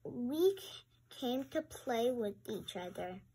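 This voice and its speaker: A woman reading a picture book aloud and adding chatty, exclamatory comments in a halting way. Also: on the high side, lively intonation, warm tone, breathy edge. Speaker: read-aloud host. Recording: noisy amateur home recording.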